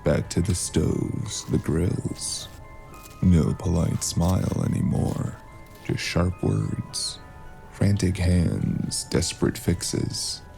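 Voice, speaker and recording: A man narrating a scripted noir story in a brooding, measured, dramatic manner. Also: low-pitched, expressive, vocal fry, dark tone, soft background music.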